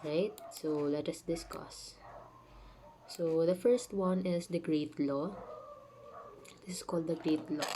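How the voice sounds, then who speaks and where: A woman with a low voice and a soft, dark, mellow tone. She is sick and talks in a chatty way with many fillers, explaining ideas to her viewers. Faint room noise is heard behind her. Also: takes it slowly, long pauses. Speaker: channel host. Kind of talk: casual spiritual talk to camera. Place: home, dogs nearby.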